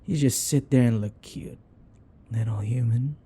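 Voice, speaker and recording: Vampire lover played by a man: possessive, weary, jealous, mellow husky tone, drawn-out delivery for ASMR roleplay. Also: mid-range voice. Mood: smug, cooing.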